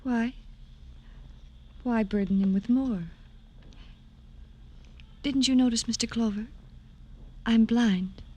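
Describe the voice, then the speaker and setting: An actress playing a gentle, devoted young woman in grief, tender and theatrical in the manner of old radio melodrama. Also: a little high, slow pace, long pauses. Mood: wistful, pleading. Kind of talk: 1950s radio melodrama acting. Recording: slightly noisy vintage radio recording.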